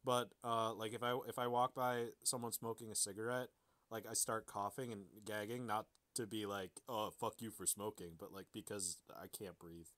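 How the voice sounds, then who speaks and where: A man's voice: lowish voice, flat delivery, moderate pace, a bit bright. A man co-hosting a podcast in casual banter, joking and self-deprecating. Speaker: podcast co-host.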